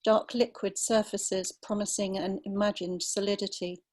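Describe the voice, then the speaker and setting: An older woman from London with a southern English accent, reading her own writing in a measured literary style. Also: medium pitch, little pitch movement, darkish tone. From London, England. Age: 50s or older. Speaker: writer reading own story. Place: online video call.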